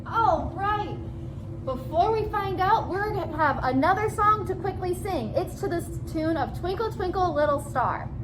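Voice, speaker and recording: Really high voiced lady doing kids science demo, upbeat, noisy background. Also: lively intonation, moderate pace. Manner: upbeat, encouraging, explains each step simply. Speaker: children's science demonstrator and co-host. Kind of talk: informal children's presenting.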